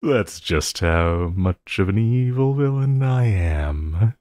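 A man acts out a lovestruck, obsessive villain in an intimate audio roleplay. His low voice swoops theatrically through a slow drawl, prone to giggles. He sounds playfully menacing, yet ready to plead like a devoted lover. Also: expressive, darkish tone, dry recording. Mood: gleefully self-mocking.

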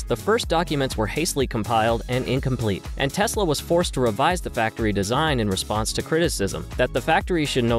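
Male narrator, mid-range pitch, scripted explainer read with faint music bed. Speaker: video narrator. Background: background music.

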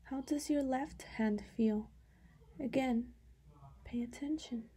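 Very slow, breathy, soft-voiced woman. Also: high-pitched. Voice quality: soft, breathy, often dropping to a whisper. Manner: calm, unhurried instruction with long pauses. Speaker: guided meditation instructor. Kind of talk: slow guided meditation.

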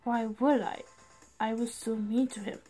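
A woman voicing a subdued cartoon rabbit father in an amateur comic dub, quiet, halting and reluctant. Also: fairly high pitch, steady tone, pitched below the performer's own voice. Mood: guilty. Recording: background music under the voice. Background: music.

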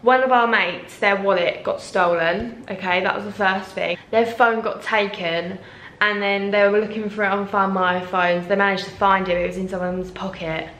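A woman's voice: mid-range voice, steady tone, moderate pace, fairly bright tone, slight background noise, low-quality recording. A woman in her twenties with a British accent, chatting casually to a handheld camera as a travel vlogger. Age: 20s. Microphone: camera at arm's length.

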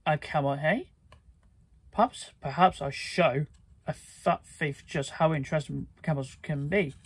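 A man's voice: a little high, measured pace, husky, dry recording. A man voicing a mischievous male genie from a storybook, teasing in tone. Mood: musing. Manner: teasing, playful.

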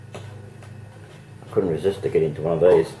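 A middle-aged Australian man with a hoarse voice, chatting casually to camera in a home vlog and laughing often between remarks. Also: very deep voice, fairly even intonation, unhurried, dark tone, slight background noise, poor audio. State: hoarse, losing his voice. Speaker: vlogger. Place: home, indoors and in a backyard. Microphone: camera mic.

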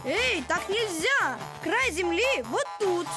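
Playful, high-voiced child. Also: female voice, big pitch swings, takes it slowly, exaggerated voice. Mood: playful, insistent. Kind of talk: animated series voice acting. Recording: music under the voice.

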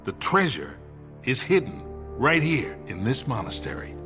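An old american treasure hunter, gruff n folksy, tall-tale swagger, really up and down pitch, music under it, video game. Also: male voice, wildly swooping pitch, a little slow. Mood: persuasive. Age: older. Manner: folksy, colourful, storytelling swagger. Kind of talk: naturalistic cinematic video game acting. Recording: music behind the dialogue.

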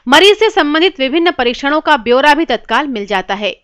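A woman narrating a scripted news report in a formal, measured reading style. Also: high voice, sing-song, noisy background.

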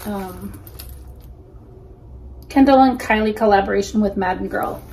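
A woman's voice: medium pitch, a little slow, a little mellow, slight background noise. A woman in her forties chatting casually on camera with lots of ums as she looks over used shoes, in a small room. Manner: chatty, easygoing, frequent ums. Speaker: reseller vlogger. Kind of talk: casual unboxing commentary. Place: small indoor room against a plain wall.

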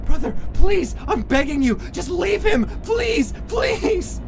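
A hard-headed young skeleton brother acted by a woman speaks in a high voice that swings widely, forceful and insistent. Dramatic comic dub acting over prominent music. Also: big pitch swings, slow pace, heavily acted. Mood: desperate, pleading. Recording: music behind the voice.

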